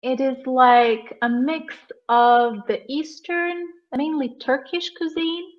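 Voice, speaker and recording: A woman's voice: high voice, fairly even intonation, slow pace. A woman from Serbia with a Serbian accent, chatting casually as an English tutor on an online call. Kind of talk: casual conversational chat. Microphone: online video call. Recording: muffled, lo-fi online call audio.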